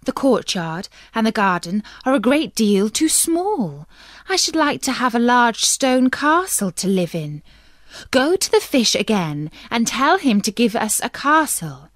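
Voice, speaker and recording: A woman plays a demanding, greedy wife from a children's fairy tale. She is commanding and dismissive, with a high, swooping delivery and a dry, close sound. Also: sing-song, measured pace, dry recording. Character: bossy, greedy, insistent fisherman's wife.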